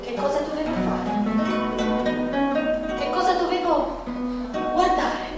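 Stage role: actress as pained, defiant woman painter, impassioned delivery, high voice, distant echoing hall. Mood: anguished. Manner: impassioned, dramatic delivery with drawn-out words. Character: Frida Kahlo, pained, defiant woman painter of forty-seven. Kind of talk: theatrical monologue with music. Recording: echoey hall from far off, music behind.

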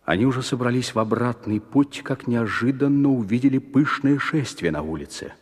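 A man's voice: medium pitch, moderate pace, darkish tone. Male fairy-tale narrator in reverberant space. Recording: long hall reverb.

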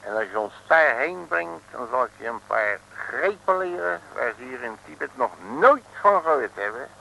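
A man's voice: medium pitch, sing-song, moderate pace, creaky, sharp tone, full character voice. A man playing a blustering, bumbling detective who hems and grumbles in a comic Dutch radio serial. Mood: blustering bravado. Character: blustering, bumbling male detective boasting of wrestling grips. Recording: noisy old radio recording.

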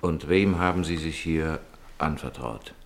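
Courteous gentleman writer in old radio play, deep voice, earnest and eloquent. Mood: sympathetic. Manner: urbane, eloquent, measured. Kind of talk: 1950s German radio drama acting.

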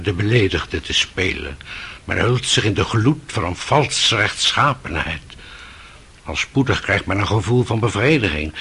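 Husky, solemn old monk. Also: male voice, lowish voice, pushed and bright, exaggerated voice. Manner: solemn, measured preaching. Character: grave, kindly, admonishing old holy monk. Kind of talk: radio play acting.

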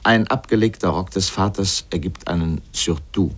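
A man with a low voice delivers a scripted literary essay for radio. He comments as he narrates, pointed and sardonic, in carefully built sentences. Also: moderate pace, husky.

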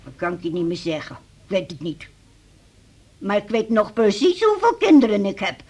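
An actress playing a stubborn, forgetful woman in her eighties in a radio drama, with a husky voice and a blunt, rambling manner. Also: medium pitch, lively intonation, normal speed, a little mellow. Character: stubborn, forgetful 83-year-old mother, cheeky and rambling. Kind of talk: 1980s Dutch radio drama acting.

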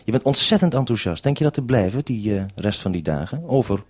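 A male radio presenter with a fairly low voice leads a live interview. He talks in a measured, orderly way, putting probing questions without a script. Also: lively intonation, breathy edge.

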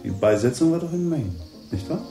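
An actor playing a composed gentleman who calmly probes with questions in a radio drama. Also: a little high, fairly quick, soft background music. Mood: calm probing. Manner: calm, probing questions. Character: probing, composed gentleman host, Tom Ripley.

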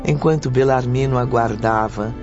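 A Brazilian actor playing the narrator of a spiritual radio drama, formal and literary in delivery. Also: male voice, mid-range voice, fairly even intonation, moderate pace, close to an everyday voice. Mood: formal, descriptive. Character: storytelling narrator of a spiritual tale. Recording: dull recording with prominent music behind. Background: music.

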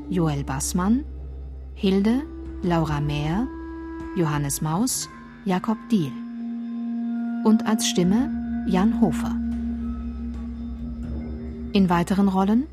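A woman reading scripted radio drama credits as an announcer, in a measured, orderly manner. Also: lowish voice. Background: faint music.

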